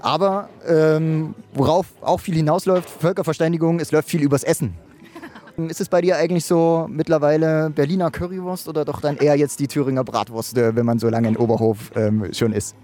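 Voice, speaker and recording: A man's voice: fairly high pitch, steady tone, a little fast, mellow. A man hosting a sports podcast, asking questions in a friendly, hesitant way with frequent filler sounds. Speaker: podcast host, interviewer. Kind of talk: casual podcast interview.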